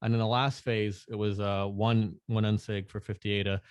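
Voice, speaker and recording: A man talking as a podcast guest in casual chat, relaxed, laughing often and restarting sentences. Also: fairly low pitch, normal speed, clear, resonant tone, no room sound. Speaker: podcast guest, NFT artist.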